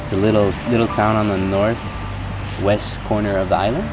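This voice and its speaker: A young man talking casually to camera as a vlogger, relaxed and reflective with plenty of ums. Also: on the low side, moderate pace, background noise. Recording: music bed loud underneath.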